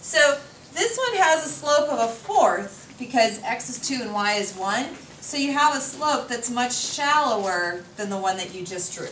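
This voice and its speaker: A woman's voice: on the high side, big pitch swings, measured pace, few pauses, a bit bright. A woman math teacher lecturing a class at a whiteboard, explaining step by step and quizzing students, recorded from far off in a classroom. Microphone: far camera. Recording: echoey classroom from far off, faint noise.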